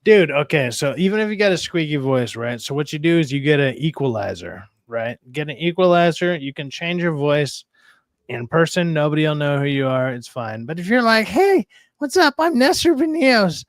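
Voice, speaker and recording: A man with a low voice that does not carry, chatting casually and profanely on a live stream. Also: sing-song, normal speed, no room sound. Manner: profane, rambling with restarts. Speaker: streamer co-host.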